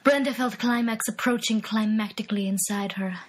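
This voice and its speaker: A woman's voice: a little high, fairly even intonation, moderate pace, slight background noise. Woman reading parody smut, mock-serious. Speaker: reader.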